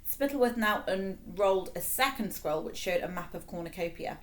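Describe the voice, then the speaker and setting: A woman narrating a children's story in an expressive read-aloud style. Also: mid-range voice, normal speed. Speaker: story reader.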